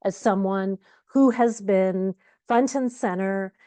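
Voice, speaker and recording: A woman's voice: mid-range voice, takes it slowly, clear, resonant tone, no room sound. Upbeat middle-aged woman on a video call. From Uganda, raised in Canada. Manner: energetic, conversational, many fillers. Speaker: conference keynote speaker. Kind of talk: virtual conference talk.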